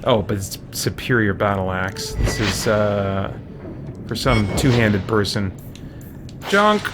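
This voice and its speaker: A man's voice: on the low side, expressive, slow pace. A man streaming a video game with casual commentary, reacting aloud and chatting to himself and his viewers. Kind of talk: casual let's play commentary. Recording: loud game music behind voice. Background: video game music and sound.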